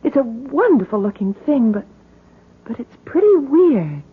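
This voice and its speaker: An earnest, hesitant young college woman in a vintage radio drama. Her voice is high, a bit husky and very animated in pitch. The sound is thin and boxy, like an old broadcast. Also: measured pace, full character voice. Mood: hesitant, intrigued. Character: eager, hesitant young female college student. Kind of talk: 1970s radio drama acting. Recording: muffled vintage 1970s radio recording.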